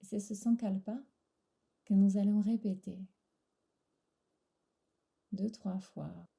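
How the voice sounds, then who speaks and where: A woman guiding a relaxation meditation in a soft, breathy, soothing voice, pausing between short phrases. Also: medium pitch, fairly even intonation. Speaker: yoga nidra instructor.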